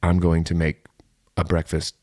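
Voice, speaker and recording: A man's voice: low-pitched, completely flat delivery, normal speed, a little mellow, no echo, studio quality. An American man co-hosting a podcast in casual comedic chat, riffing playfully and repeating phrases for effect. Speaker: podcast co-host.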